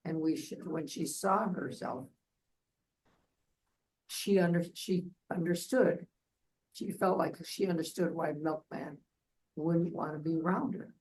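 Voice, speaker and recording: A woman's voice: lowish voice, unhurried, pauses often, darkish tone, rough recording. An older woman reflecting earnestly on a story in a casual book discussion. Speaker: book club member.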